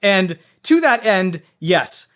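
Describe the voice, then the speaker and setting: A man's voice: high voice, very animated, slow, fairly bright tone. A man giving casual, long-winded explanations as the judge on a comedy debate podcast.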